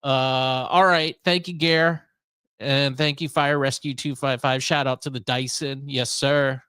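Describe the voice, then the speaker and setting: A man chats casually as a podcast host in a medium-pitched voice. His delivery is unscripted, wry and a little hesitant, rambling through his thoughts. The recording is dry, without room echo. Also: steady tone, measured pace, bright, forceful tone, clear, resonant tone.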